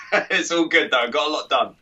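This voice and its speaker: Chatty English man, bright and distant. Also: on the high side, moderate pace, husky, dull audio. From England. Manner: chatty, laughing, rambling with restarts. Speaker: podcast guest, author and coach. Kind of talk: casual interview chat.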